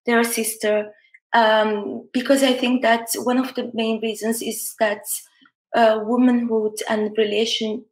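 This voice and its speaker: A woman's voice: on the high side, level tone, measured pace. A woman from Somalia introducing herself and her work informally, hesitant, repeating words as she searches for them. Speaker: writer, poet and playwright. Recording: roomy, echoey sound.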